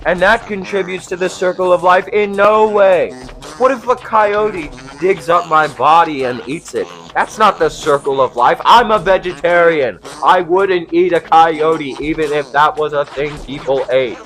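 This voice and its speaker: A man's voice: on the high side, measured pace, fairly bright tone, soft background music. A young man delivering a scripted absurdist comic monologue in a theatrical, sarcastic, exasperated way, swearing freely like a mock stand-up host. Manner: theatrical, profane, exasperated sarcasm with rhetorical questions.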